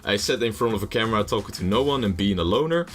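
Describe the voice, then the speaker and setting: Rambling gaming commentator. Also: male voice, lowish voice, animated, quick pace, a bit bright, faint music behind. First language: Dutch. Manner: rambling, jokey, swears casually; nervous first-video energy. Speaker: gaming YouTuber. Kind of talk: casual game commentary.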